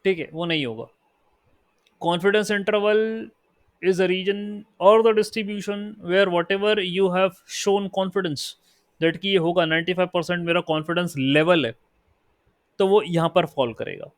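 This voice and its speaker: A fairly high-pitched man with an Indian accent gives a lesson, moving between Hindi explanation and slow, careful English definitions. His delivery is unhurried and deliberate. The recording is dry and close, with little room sound. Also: steady tone, no echo. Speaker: teacher. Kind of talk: lecture.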